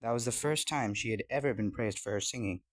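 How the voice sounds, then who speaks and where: A man's voice: mid-range voice, a little fast. Man narrating a fairy tale expressively. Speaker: storyteller.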